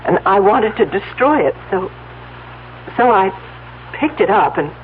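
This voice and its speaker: A woman's voice: medium pitch, animated, unhurried, lightly acted. Woman acting as anxious farm wife, earnest, emotional, radio drama, thin narrow-band sound, faint hum. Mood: guilty, anxious. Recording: tinny, hissy old radio recording with hum.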